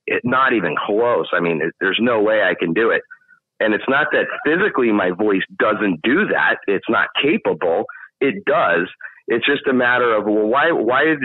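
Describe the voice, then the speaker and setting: Deep-voiced man on a tinny line. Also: dry recording. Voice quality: deep baritone speaking voice. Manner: thoughtful, explains at length with many restarts. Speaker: guest singer. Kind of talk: casual interview chat.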